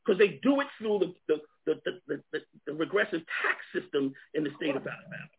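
Brief: high, lively New York man, earnest and halting, raspy tone, phone-quality sound. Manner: earnest, many repeated restarts. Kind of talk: animated discussion on a call. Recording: tinny phone-like line.

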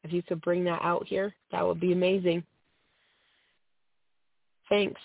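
A host with a cold, half-losing their voice, reads a religious text aloud with small stumbles and fillers. The voice has a gravelly, frayed edge. The sound is tinny and dry, like a phone line. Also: medium pitch, level tone, measured pace, no room sound. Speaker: host reading aloud on a call-in program.

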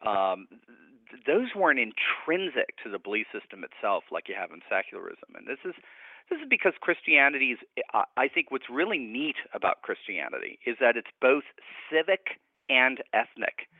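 A man's voice: medium pitch, sing-song, normal speed, no room sound. A man speaking as a guest scholar in a radio interview, explaining ideas in a reflective, academic, conversational way. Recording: narrow, tinny phone-like line.

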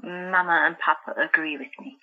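A woman's voice: deep voice, moderate pace, narrow, tinny audio. A woman playing a stubborn young sister plagued by visions in an audio drama, speaking earnestly and insistently. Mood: defiant.

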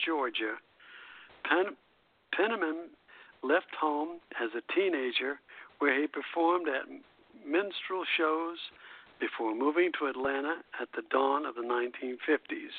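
A man with a fairly high voice reads a scripted music history as a radio host. His delivery is halting, with stumbles and self-corrections. The sound is thin and narrow, like an internet radio show taken over a phone line.

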